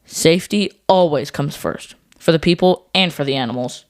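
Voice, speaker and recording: A woman with a low voice co-hosts a scripted educational podcast for children. She explains things in clear, simple terms, and the sound is very dry, with little room in it. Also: normal speed, dry recording. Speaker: podcast co-host.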